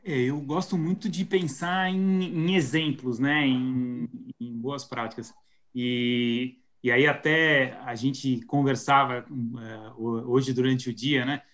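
A man's voice: medium pitch, fairly even intonation, takes it slowly, fairly bright tone. A man in his thirties with a Paulista Brazilian accent, a marketing guest on a live video call, talking thoughtfully with many restarts and fillers. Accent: Paulista Brazilian Portuguese. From São Paulo state, Brazil. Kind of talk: live webinar conversation. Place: home office indoors. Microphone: video call webcam mic.

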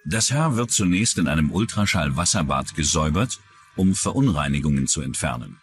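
A man with a very low voice narrates a true-crime documentary. His delivery is scripted and varies noticeably in pitch, with faint music behind him. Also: expressive, fairly quick.

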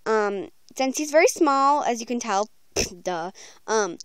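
High-pitched, clear young woman, chatty. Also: expressive, takes it slowly, no room sound, low-quality recording. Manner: informal, rambling, slangy. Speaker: video creator announcing voice auditions. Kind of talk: casual chatty vlog. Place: small room at home, bedroom. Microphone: handheld phone, close by.

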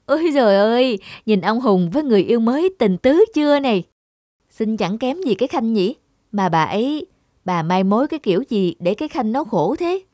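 A woman's voice: fairly high pitch, sing-song, fairly quick, mellow, no room sound, studio quality. A woman playing a gossipy young student in a cartoon, fussing in a blunt, colloquial way. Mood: indignant. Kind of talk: cartoon voice acting.

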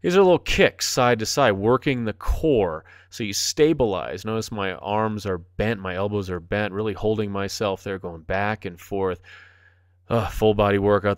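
A man's voice: fairly low pitch, moderate pace, smooth, no room sound, studio quality. A man in his forties giving upbeat, jokey voice-over commentary as a fitness instructor over his workout video. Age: 40s. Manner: upbeat, jokey, enthusiastic coaching.

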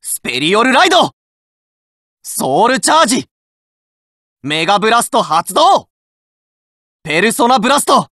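An actor voicing a fiery, determined teenage boy card fighter who shouts his game battle calls in rough boyish speech, recorded in a dry studio. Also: high voice, big pitch swings, moderate pace, pauses often, dry recording, heavily acted. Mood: battle cries.

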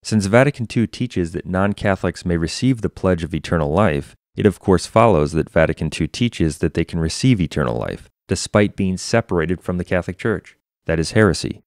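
Man, low voice, scripted polemical narration, formal, emphatic, declarative, dry close-mic sound. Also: lively intonation, brisk, darkish tone, no echo. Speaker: religious commentator narrating.

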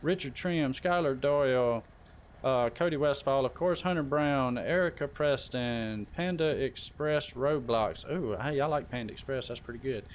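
A man with a mid-pitched voice hosts a gaming livestream. He talks informally and chummily, handing out shout-outs. Faint game ambience and vehicle noise sit behind him. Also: moderate pace. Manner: chummy, informal, addressing viewers by name. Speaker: live stream host.

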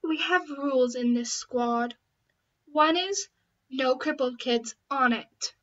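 A woman's voice: high voice, slow pace, fairly bright tone. A woman voicing a cruel, taunting cheerleader bully with open contempt, on a slightly dull home recording. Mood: smug scorn. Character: cruel, scornful mean-girl cheerleader bully.

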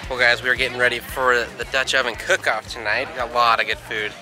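A man's voice: mid-range voice, level tone, fast, music in the background. A man in his thirties chatting casually to a handheld camera outdoors at a campsite, vlogging. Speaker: family vlogger. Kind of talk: casual vlog chat to camera. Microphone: handheld camera at arm's length.

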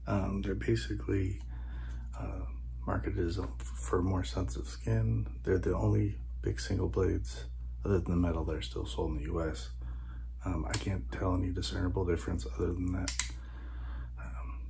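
A middle-aged man with a raspy voice gives a casual product review in a small room at home, talking in a hushed, halting way with many fillers. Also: low-pitched, level tone. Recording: noisy home recording.

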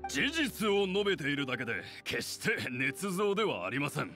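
A man's voice: a little high, very animated, normal speed, sharp tone, soft background music. An actor playing a scheming, easily indignant elderly clan head in an anime game, formal and deferential to his ruler. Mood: defensive, obsequious. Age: older. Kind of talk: anime game character acting.

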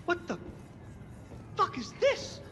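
A man playing an excitable, foul-mouthed resistance fighter in a video game, exclamatory and mock-dramatic. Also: slow, slight background noise. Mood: astonished. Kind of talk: video game cutscene acting.

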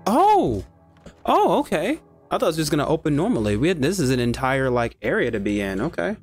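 A man's voice: fairly high pitch, huge pitch swings, brisk. Chill guy streaming a game, cussing casually, faint game music behind. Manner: laid-back, swears freely. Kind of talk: casual gameplay commentary.

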